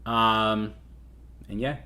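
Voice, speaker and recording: A man's voice: lowish voice, flat delivery, drawn-out words, pushed and bright. Rambling man hosting a crypto stream. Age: 30s. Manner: rambling with many fillers and restarts. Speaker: crypto show host. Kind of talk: casual livestream chat.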